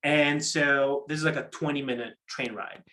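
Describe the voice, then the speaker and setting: A man with a slightly high voice leads an interactive workshop as its presenter. He talks conversationally and haltingly, doubling back on words as he explains, and often checks in with small tag questions. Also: fairly even intonation, pushed and bright. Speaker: program manager presenting a workshop. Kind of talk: interactive online workshop talk on pitching.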